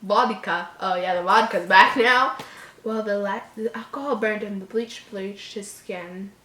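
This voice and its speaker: A woman's voice: mid-range voice, unhurried, sharp tone. Female story reader, horror material, trips over lines, drops in exasperated commentary; echoey room sound. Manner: stumbles over words, breaks off into mocking, exasperated asides. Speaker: host reading a story aloud. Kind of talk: reading a horror story aloud with exasperated asides.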